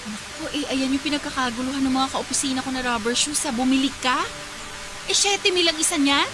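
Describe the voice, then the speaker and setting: A woman performs a sensible young friend in a Filipino radio drama. Her high voice scolds and lectures with exasperation, over a loud music bed. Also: brisk, close to an everyday voice. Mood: worried, nagging. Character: sensible, nagging young woman, loyal thrifty best friend.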